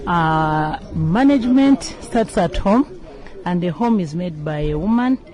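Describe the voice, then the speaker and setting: A woman's voice: on the low side, lively intonation, a little slow, dark tone. Female management lecturer, Ugandan accent, formal spoken interview answers.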